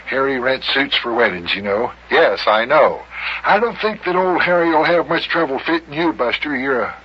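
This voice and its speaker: An actor playing a bashful old small-town mayor in an old-time radio comedy, rambling and stammering in rustic grammar. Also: male voice, mid-range voice, animated, normal speed, husky. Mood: chatty, bashful. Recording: dull, noisy vintage radio recording.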